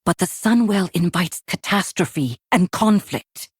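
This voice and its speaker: An actress playing a stern, regal night elf ruler in a video game, stately and authoritative, with a husky voice. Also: female voice, on the low side, lively intonation, measured pace, no room sound, clean studio sound. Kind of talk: dramatic video game voice acting.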